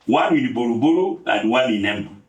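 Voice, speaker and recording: A man in his seventies from Kenya speaks at an unhurried pace, with dry jokes and frequent filler pauses. His voice sits in an ordinary middle range. The sound carries the echo of a roomy space. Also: medium pitch, expressive. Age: 70s. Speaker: guest speaker. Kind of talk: relaxed storytelling talk.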